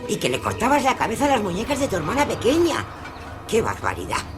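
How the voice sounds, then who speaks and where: Abuela severa y regañona, muy expresiva. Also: female voice, medium pitch, huge pitch swings, quick pace, music in the background. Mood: scolding, bitter. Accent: Castilian Spanish. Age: older. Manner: scolding, nagging, sharp-tongued. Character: harsh, scolding, domineering old grandmother. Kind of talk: audio drama acting.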